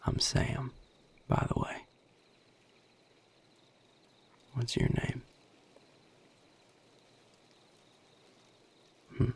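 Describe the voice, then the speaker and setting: A man playing a guarded, world-weary vampire in an intimate audio roleplay, with a Southern US accent and a breathy, gravelly drawl, speaking in measured, hushed phrases. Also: lightly acted. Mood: calm, wary. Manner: measured, hushed, plain-spoken with deliberate pauses. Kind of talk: intimate audio roleplay acting addressed to the listener.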